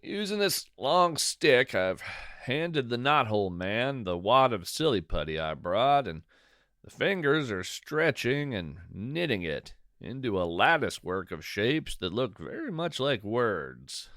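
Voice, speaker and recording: A man's voice: medium pitch, big pitch swings, a little slow, fairly bright tone, no room sound. A man hosting a fiction podcast as a whimsical, folksy storyteller, musing conversationally close to the microphone. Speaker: podcast host and narrator.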